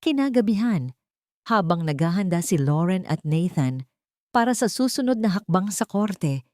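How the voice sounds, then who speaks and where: A woman reads a dramatic audiobook in a low register. Her scripted narration rises and falls widely, and the sound is very dry. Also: big pitch swings, normal speed, dark tone, dry recording.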